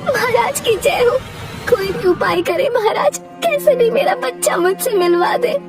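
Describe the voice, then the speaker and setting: A woman's voice: very high-pitched, lively intonation, measured pace, loud music behind the voice, pitched above the performer's own voice. A woman voicing a grieving, trusting village mother in a cartoon moral tale, tearful and imploring. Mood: pleading.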